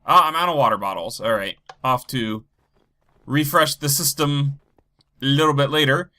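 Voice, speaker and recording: Stuffy guy with a cold narrating his game, kinda loopy, bright tone. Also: moderate pace. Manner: rambling and low energy, thinking aloud. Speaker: Minecraft let's play host. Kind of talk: casual gameplay commentary.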